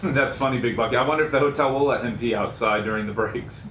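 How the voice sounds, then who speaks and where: A man's voice: mid-range voice, quick pace, a bit bright. A man on a radio show crew, talking casually in on-air banter. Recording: roomy, off-mic, faint background noise.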